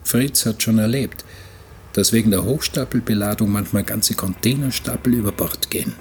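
An actor playing an observant novice galley hand, narrating his voyage diary in a measured, reflective way for a radio play. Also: on the low side, slight background noise, close to an everyday voice.

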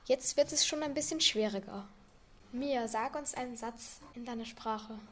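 A young teenage girl with a fairly high voice presents a classroom language quiz in a school radio piece. Her delivery is clear and teasing, with faint noise in the background. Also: normal speed. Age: young teen.

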